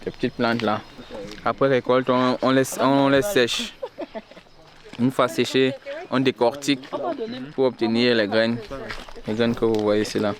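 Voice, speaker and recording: A man's voice: medium pitch, little pitch movement, a little slow, long pauses, crowd noise. A man patiently explaining crops to visitors, recorded outdoors in a village field. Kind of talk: casual explaining.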